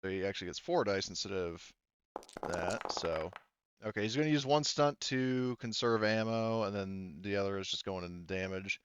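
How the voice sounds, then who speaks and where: A man's voice: lowish voice, moderate pace, clear, resonant tone, no room sound, lo-fi sound. A man acting as game master, casually narrating a tabletop roleplaying game, swearing and laughing readily.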